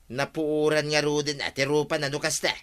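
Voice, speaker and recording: A man's voice: a little high, little pitch movement, moderate pace, a bit bright, clear, resonant tone, close to an everyday voice. An actor with a Filipino accent playing a mocking, jokey village man who teases in a radio comedy drama. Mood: teasing.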